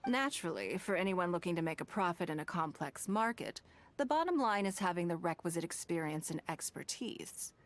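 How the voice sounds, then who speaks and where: A woman voicing a wealthy, shrewd, self-assured noblewoman in a video game, speaking in a measured, formal way with calm, teasing poise. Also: fairly low pitch, natural voice. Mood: composed, self-assured. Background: game background music.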